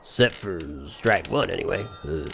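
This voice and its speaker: A man's voice: sits low, lively intonation, moderate pace, creaky, fairly bright tone, slight background noise, heavily acted. A man playing a sheepish but proud down-and-out trucker in audio fiction, folksy and courteous, with an American accent. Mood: sheepish, defensive.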